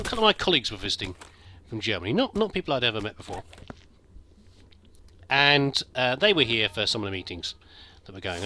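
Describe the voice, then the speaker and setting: A middle-aged British man with a soft accent, telling a rambling, self-deprecating story over gameplay, full of stops and restarts. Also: mid-range voice, slight background noise. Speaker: gameplay commentator.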